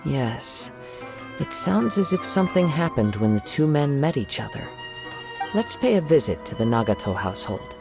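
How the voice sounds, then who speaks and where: An actor playing a courteous, composed young gentleman investigator in an anime video game, speaking formally and politely. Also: medium pitch, very animated, moderate pace, vocal fry, music in the background. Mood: reassuring.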